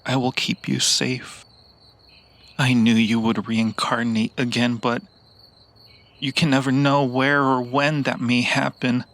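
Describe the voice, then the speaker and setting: Slow, creaky seductive vampire played by a man. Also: mid-range voice, little pitch movement, a bit bright. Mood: mournful, vowing devotion. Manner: slow, romantic, theatrical, lingering pauses. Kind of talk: intimate ASMR roleplay, improvised monologue.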